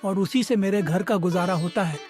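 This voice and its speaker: A man's voice: high voice, normal speed, darkish tone, soft background music, natural voice. A man voicing a poor, humble middle-aged village woodcutter in a cartoon, speaking in a pleading, deferential way. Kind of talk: Hindi moral cartoon dialogue.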